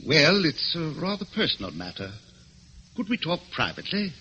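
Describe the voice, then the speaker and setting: An actor playing an eager, evasive gentleman client in a 1940s radio drama, formal and hesitant in manner. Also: fairly high pitch, big pitch swings, normal speed, breathy edge, exaggerated voice. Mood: polite, apologetic. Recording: dull, noisy vintage radio recording.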